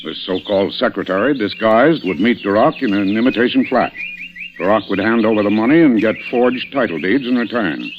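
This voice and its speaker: A man playing a shrewd, dry, commanding police inspector in old-time radio mystery style, deliberate and sardonic in his questioning. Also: lowish voice, animated, lightly acted. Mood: explaining, assured. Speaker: radio actor. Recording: muffled, hissy old radio recording.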